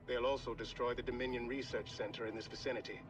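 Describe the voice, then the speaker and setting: An actor playing a calm, careful military science advisor with a measured, precise delivery in a video game. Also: male voice, mid-range voice, far from the mic, lightly acted. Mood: calm, concerned. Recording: loud game music and effects behind.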